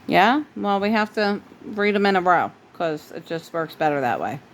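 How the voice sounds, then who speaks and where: A woman's voice: fairly low pitch, expressive, moderate pace. Woman reading aloud over noticeable background noise. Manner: unpolished home reading, stumbling over words and breaking off. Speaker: parent reading a bedtime story to a child. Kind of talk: read-aloud storybook narration with casual asides to a child. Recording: noisy home recording.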